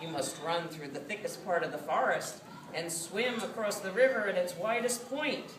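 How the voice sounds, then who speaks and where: A woman's voice: lowish voice, normal speed, natural voice. A woman voicing a stately male emperor in a storybook, speaking in a formal, proclaiming manner. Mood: warning. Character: stately male emperor announcing race results. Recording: roomy, distant mic, faint noise.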